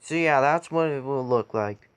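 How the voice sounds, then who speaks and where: A man giving a casual how-to tutorial in a halting way, pausing between short phrases. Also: mid-range voice, clear, resonant tone. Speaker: tutorial video creator.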